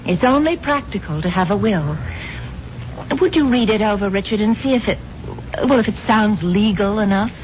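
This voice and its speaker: An actress playing a rich, credulous middle-aged American wife in an old radio drama, genteel and earnest in manner. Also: female voice, medium pitch, expressive, normal speed, a little mellow, close to an everyday voice. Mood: sweetly coy. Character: rich, credulous, genteel wife, strong-willed when crossed. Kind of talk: 1950s radio drama acting. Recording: dull vintage radio recording, faint noise.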